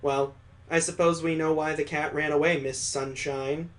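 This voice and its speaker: A man's voice: fairly high pitch, flat delivery, moderate pace, a bit bright, lightly acted. A young anime protagonist read by a man answers in a guarded, dry way. The lines come across as visual novel dialogue read aloud, introspective and reserved. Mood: dry teasing.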